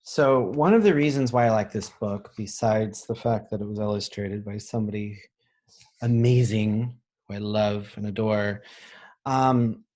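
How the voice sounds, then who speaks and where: Slow-paced man reading aloud to children. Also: on the low side. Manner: expressive reading, pausing to explain simply to children. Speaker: elementary school teacher reading aloud. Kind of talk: children's picture book read-aloud with explanations.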